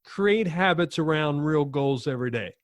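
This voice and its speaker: Dry-sounding, higher-voiced man hosting. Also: lively intonation, normal speed, no echo. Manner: enthusiastic, loosely phrased questions. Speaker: podcast host. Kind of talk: conversational interview.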